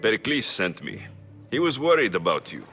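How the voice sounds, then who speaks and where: An actor playing a young, steady, dry-witted mercenary hero in a video game. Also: male voice, lowish voice, normal speed. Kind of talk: video game dialogue acting. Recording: music under the voice.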